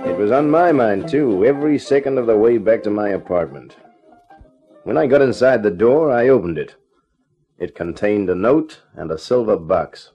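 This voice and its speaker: An actor playing a wry, wisecracking private detective who narrates a 1950s radio drama. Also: male voice, lowish voice, lively intonation, normal speed, warm tone, slightly rough, faint music behind. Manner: dry, wisecracking, laconic. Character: wry hard-boiled male private eye. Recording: dull 1950s radio recording.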